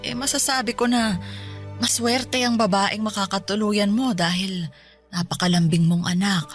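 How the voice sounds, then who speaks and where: A woman playing an affectionate, gently chiding older mother who is unwell, in a radio drama. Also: medium pitch, steady tone, normal speed, close to an everyday voice. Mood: fond, teasing. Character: sickly older mother, affectionate and teasing, fretting over her grown son. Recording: music under the voice.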